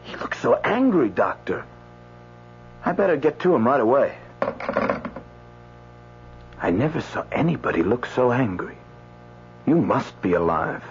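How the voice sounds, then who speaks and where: An actor playing a flustered new doctor at a medical examiner's office in a radio drama, jittery and hesitant. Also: male voice, mid-range voice, sing-song, a little slow, long pauses, a little hiss or hum. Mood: puzzled, unsettled. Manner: jittery, hesitant, repeats himself. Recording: muffled radio recording, faint music.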